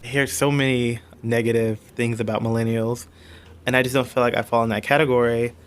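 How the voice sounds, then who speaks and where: A man's voice: mid-range voice, little pitch movement, brisk, clean tone. A man in his twenties giving casual answers as an interviewee. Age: 20s.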